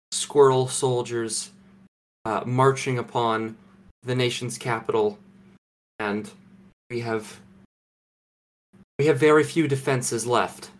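A young Canadian man co-hosting a podcast from home, deadpan and mock-serious, with halting restarts. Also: mid-range voice. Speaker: podcast co-host. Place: home, remote recording.

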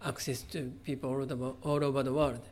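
A middle-aged man from Japan with a Japanese accent, answering interview questions about his art in a halting way, with many fillers and restarts. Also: mid-range voice, level tone, a little mellow. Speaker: visual artist, interview guest. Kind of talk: conversational interview.

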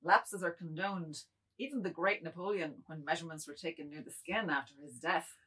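A woman playing an excitable middle-aged man, feverish and meekly pedantic, in a radio-play reading. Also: low voice, a little fast, breathy edge, poor audio.

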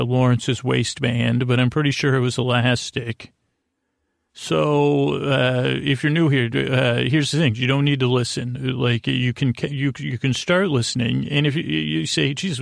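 A middle-aged man hosting a bedtime podcast, rambling in a halting, stammering way with self-deprecating, gently silly asides and tangents. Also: medium pitch, vocal fry, no room sound, studio quality. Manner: halting, stammering, repeats words and trails off into tangents. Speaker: podcast host.

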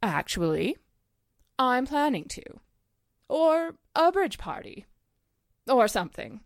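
A woman's voice: high voice, sing-song, slow pace, no room sound, clean studio sound, full character voice. A woman acting a chatty, coy small-town 1940s housewife who loves gossip, in a radio drama. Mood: playful, gossipy.

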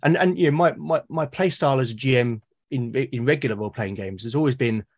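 A British man, a podcast guest, talking earnestly and haltingly, often repeating his words. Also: mid-range voice. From Britain. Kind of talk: conversational interview.

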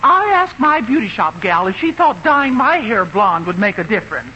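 A woman's voice: medium pitch, sing-song, normal speed, sharp tone. An actress playing a nosy, wisecracking American married woman in a 1950s radio sitcom, gushing and wry. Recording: muffled old radio recording, faint noise.

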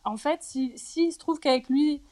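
Woman in her 40s, kinda high voice, thoughtful and hesitant, lots of little pauses. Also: darkish tone. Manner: reflective, hesitant, many pauses and fillers. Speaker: interviewee. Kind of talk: candid personal testimony.

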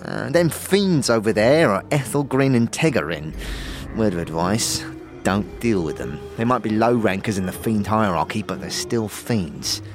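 A man's voice: fairly low pitch, expressive, normal speed, music in the background. A man voicing a chatty, slangy tavern keeper in a fantasy audio drama, with stammering asides. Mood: confiding, chatty.

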